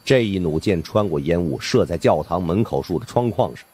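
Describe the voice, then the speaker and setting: A man's voice: low-pitched, expressive, brisk, warm tone, breathy edge. A man giving dramatic scripted narration of supernatural suspense fiction as an audiobook narrator.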